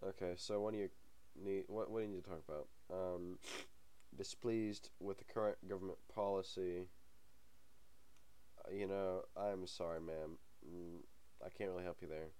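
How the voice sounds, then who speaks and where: A man's voice: deep voice, steady tone, normal speed, warm tone. A young man hosting a gaming channel, talking casually over a game. He has a cold and the sniffles, and he rambles with many ums and small chuckles. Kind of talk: casual let's-play commentary.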